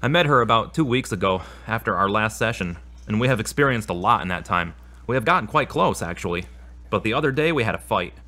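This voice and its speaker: Male actor as troubled man in therapy, audio drama, lowish pitch, earnest, confessional, guilt-ridden, self-doubting. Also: animated, fast talker, bright, forceful tone. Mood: frustrated, uneasy. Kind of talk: scripted audio drama dialogue and spoken prayer.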